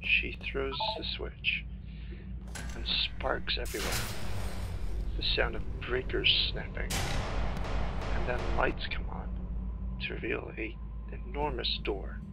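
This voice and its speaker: A man giving casual game playthrough commentary in a halting, musing way with drawn-out pauses, talking about screenwriting. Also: medium pitch, gravelly. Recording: game music behind the voice. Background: video game music and sound.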